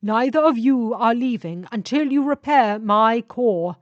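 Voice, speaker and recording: A woman voicing a needy, menacing male sentient spaceship in an audio story, speaking in slow, emphatic, drawn-out phrases. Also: on the high side, expressive, dry recording, lo-fi sound, voice pushed up. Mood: threatening, demanding.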